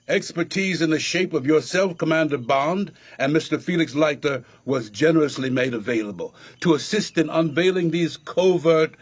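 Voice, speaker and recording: A pompous but genial judge in a radio play. His fairly high male voice delivers formal phrases haltingly. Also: breathy edge. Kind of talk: radio drama acting.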